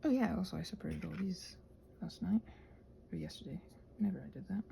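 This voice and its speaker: A woman's voice: fairly low pitch, quick pace, creaky, mellow, slight background noise. A woman chatting casually in a whimsical, digressive way while she does crafts. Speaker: craft vlogger.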